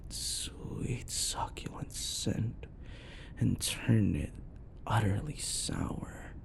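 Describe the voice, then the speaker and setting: Slow, deep possessive yandere lover, acted by a man. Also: darkish tone, slight background noise, full character voice. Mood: sultry menace. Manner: breathy whispering, slow menacing croon. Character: possessive, unhinged yandere male predator lover. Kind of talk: ASMR roleplay acting.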